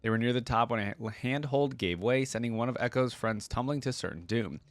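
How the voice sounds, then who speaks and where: Male reaction-video host, medium pitch, conversational, moving between read-aloud and asides, dry recording. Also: a little fast, no room sound. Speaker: YouTube host reacting to game lore. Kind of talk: casual reaction commentary mixed with reading aloud.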